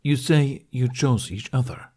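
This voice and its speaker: A man's voice: mid-range voice, a little slow, warm tone, husky, voice pushed up. A man voicing a stern, earnest older Hungarian archery mentor in an audiobook, with solemn, formal phrasing.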